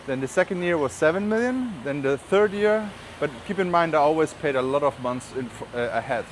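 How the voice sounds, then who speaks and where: A man's voice: a little high, animated, brisk. A man from Germany with a German accent, chatting casually and rambling with informal asides in a walking vlog. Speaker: vlogger. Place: outdoors on city streets while walking. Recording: outdoor street noise, passing traffic. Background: urban street ambience, vehicles.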